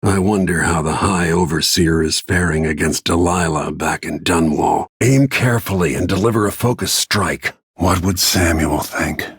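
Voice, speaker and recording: An actor playing a grim, weathered middle-aged royal bodyguard turned assassin in a video game, gravelly and husky, brooding and terse. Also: male voice, deep voice, normal speed, few pauses, no echo, studio quality, heavily acted.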